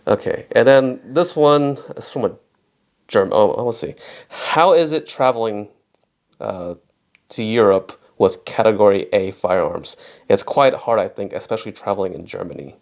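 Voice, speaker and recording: Gravelly American man, casual and chatty. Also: fairly low pitch, animated, moderate pace. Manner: casual, filler-heavy with lots of "like". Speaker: guest. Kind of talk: casual conversational Q&A.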